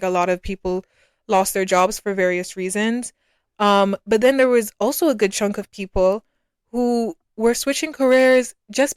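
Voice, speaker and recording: A woman's voice: medium pitch, clear, resonant tone, dry recording, clean studio sound. A woman in her early twenties from Barbados, talking casually and reflectively as a solo podcast host, in a conversational, opinionated way.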